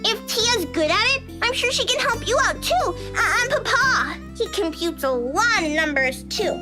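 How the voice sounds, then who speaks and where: A woman's voice: very high voice, expressive, normal speed, over loud music, voice pushed up. A woman voicing a cheerful, eager little schoolgirl in a visual novel, chirpy and childlike. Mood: eager, innocent.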